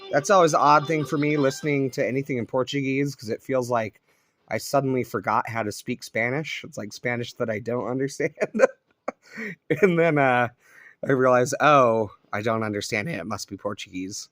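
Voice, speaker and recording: A man's voice: mid-range voice, normal speed, fairly bright tone, no echo, clean studio sound. A man chatting casually and thinking aloud as a hobbyist host, in a laid-back way. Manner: laid-back, musing aloud in short remarks. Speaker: vlog host, shortwave radio hobbyist. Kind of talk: casual chat while scanning the radio. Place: home radio room, indoors.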